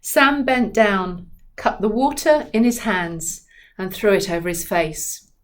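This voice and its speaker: Slow-paced woman reading dramatically. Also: medium pitch, expressive. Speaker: author reading from own book. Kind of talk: expressive audiobook-style reading.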